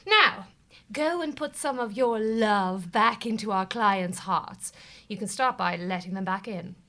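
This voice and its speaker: An actress playing a melodramatic, bossy and overwrought office manager in a scripted audio comedy. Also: female voice, medium pitch, unhurried. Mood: bossy, decisive. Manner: theatrical, swings from panic to forced calm.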